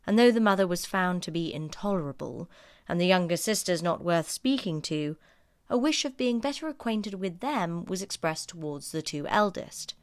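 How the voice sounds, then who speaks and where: A woman reading literary narration for an audiobook in a measured, articulate way. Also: fairly low pitch, animated.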